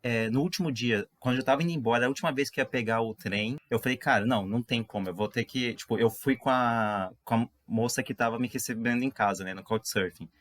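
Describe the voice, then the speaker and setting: Man, mid-pitched, casual storyteller, backtracks as he talks. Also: quick pace, few pauses. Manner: rambling storyteller, self-correcting. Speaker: podcast co-host. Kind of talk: casual anecdote telling.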